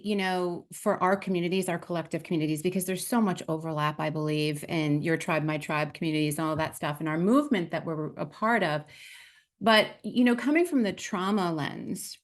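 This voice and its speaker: A woman podcast host with a somewhat low voice talks thoughtfully and affirmingly, unpacking ideas step by step. She often checks in with a quick "right?" as she explains. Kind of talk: conversational podcast commentary.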